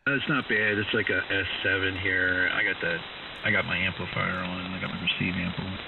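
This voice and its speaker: A man's voice: sits low, steady tone, brisk, creaky. A man calling in on a ham radio chat, rambling casually with many ums and uhs. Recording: distant, tinny radio signal with hiss.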